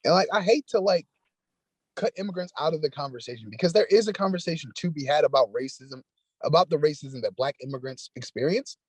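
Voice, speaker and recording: A man with a husky, breathy voice chats casually on a podcast, leaning on "like" as he builds his points. The sound is dry and close, with no room around it. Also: fairly high pitch, expressive, a little fast, no room sound, rough recording. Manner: casual, peppered with "like". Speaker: podcast co-host. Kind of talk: casual discussion.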